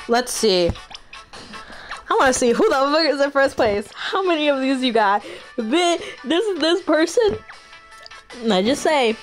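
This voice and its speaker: A woman's voice: a little high, poor audio. A woman streamer giving casual, slangy gameplay commentary with plenty of swearing.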